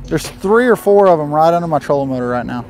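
A young man, a professional angler, giving casual running commentary from a bass boat out on a lake. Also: expressive, quick pace, darkish tone. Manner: casual, talks to himself and the camera crew between casts. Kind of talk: running commentary while fishing.

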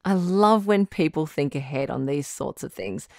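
A woman's voice: sits low, animated, normal speed, dry recording, clean studio sound. A woman playing a frank, reassuring doctor who hosts a radio call-in advice show, encouraging and matter-of-fact in a conversational style. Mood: upbeat, encouraging.

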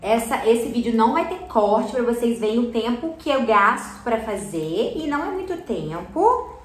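Chatty woman with a higher voice in a roomy space. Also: big pitch swings, normal speed, a little hiss or hum. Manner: chatty and encouraging, often checking in with viewers. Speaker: slime channel host. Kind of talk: hands-on craft tutorial for kids. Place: indoor studio corner packed with colorful slime products. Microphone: fixed camera at table, mid shot. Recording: roomy indoor echo.

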